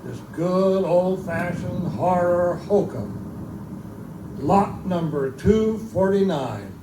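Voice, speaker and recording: A man's voice: high voice, slow. A man playing a folksy, wisecracking keeper of a remote outpost library, chatty and theatrical, in pulp storytelling style at a live show. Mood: storytelling relish. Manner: colloquial, chatty, theatrical, irreverent. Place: live in a bookstore. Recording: roomy distant live recording, loud background noise.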